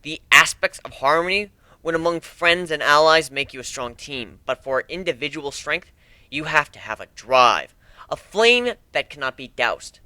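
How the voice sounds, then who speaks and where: A man's voice: on the high side, expressive, moderate pace, pushed and bright, dry recording. A man voicing a cocky, jaded male griffin pirate captain with a lazy, sardonic air of command, in a fantasy story reading. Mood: stern, jaded.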